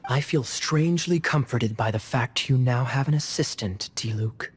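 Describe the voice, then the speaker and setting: A man voicing a sly young knight in a video game, smooth and teasing. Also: medium pitch, normal speed, a little hiss or hum, close to an everyday voice.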